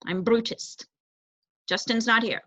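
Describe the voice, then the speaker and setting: Lady doing a bossy young guy rat guard from a storybook, husky, muffled audio. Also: fairly high pitch, unhurried, a bit bright. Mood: blunt. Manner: blunt, bossy, dismissive. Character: gruff, puzzled, oversized young male rat guard. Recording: roomy echo, dull muffled sound.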